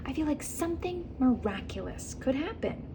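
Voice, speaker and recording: A woman's voice: high-pitched, big pitch swings, moderate pace, noisy background, pitched above the performer's own voice. A woman voicing an eager, joyful young girl in a storybook.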